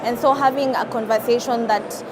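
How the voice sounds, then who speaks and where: A woman in her twenties from Kenya, answering interview questions in an earnest, measured way with frequent pauses and fillers. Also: a little high, fairly even intonation. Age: 20s. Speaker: interview guest, climate activist. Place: studio at an outdoor event. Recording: loud background ambience and traffic noise.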